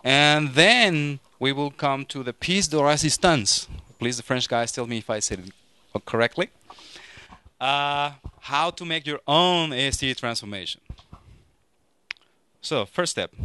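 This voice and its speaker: A man in his thirties from Mexico with a Mexican Spanish accent, giving a lively, joking technical talk to a conference audience through a handheld microphone. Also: medium pitch, clear, resonant tone, no echo. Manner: lively, joking, frequent restarts. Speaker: conference speaker.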